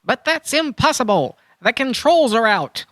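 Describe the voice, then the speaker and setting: A woman's voice: on the high side, very animated, a little slow, a bit bright, no room sound, clean studio sound. A woman playing an overconfident, oblivious treasure-hunting hero in a comedic children's audio drama, earnest and upbeat. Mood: clueless, eager. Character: Chattanooga, cheerfully clueless, overconfident treasure-hunting adventurer hero.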